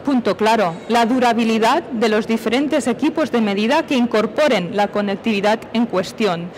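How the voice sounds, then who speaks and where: A middle-aged woman speaks on stage as a conference presenter, with a fairly high voice. She explains technical points methodically, framing them with questions. There is some faint background noise from the event space. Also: normal speed, a little mellow, poor audio. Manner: explanatory, with self-posed questions. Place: on stage at a conference, filmed from a distance.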